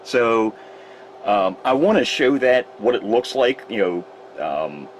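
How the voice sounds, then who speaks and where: A man's voice: mid-range voice, expressive, slow, slight background noise, low-quality recording. A man demonstrating radio repair in a casual, rambling way, often correcting himself mid-sentence. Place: repair shop workbench.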